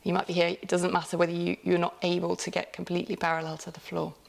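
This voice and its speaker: A woman yoga teacher calmly cueing a class step by step, with light laughs and the odd self-correction. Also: fairly low pitch, fast, gravelly. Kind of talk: guided yoga class instruction.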